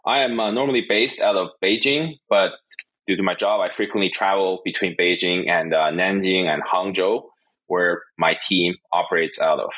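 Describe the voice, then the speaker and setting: A low-voiced man, a Mandarin speaker. His voice is a bit husky and his talk is relaxed, with plenty of "uh" fillers. He is a guest on a podcast. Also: normal speed, rough recording. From China, raised in Canada. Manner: relaxed, frequent "uh" fillers, light laughs. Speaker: guest, marketing executive. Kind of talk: casual interview chat.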